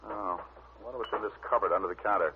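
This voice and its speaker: A man's voice: on the low side, fast, slight background noise, lightly acted. An actor playing a sardonic, wisecracking male private detective who also narrates in 1950s radio drama style. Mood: wry banter. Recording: narrow, tinny old-time radio recording.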